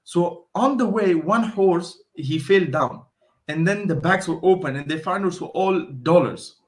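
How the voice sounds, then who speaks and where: A man's voice: sits high, measured pace. A man from Afghanistan with an Afghan accent, speaking as an expert guest in a conversational interview, explaining and telling stories with emphatic repetition.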